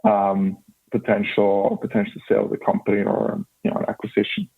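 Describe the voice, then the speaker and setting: A man's voice: lowish voice, very animated, a little fast, vocal fry, slightly rough. A man in his thirties from Norway with a faint Norwegian accent, a startup founder answering interview questions earnestly, with many restarts. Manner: earnest, with frequent restarts and fillers. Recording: band-limited phone line.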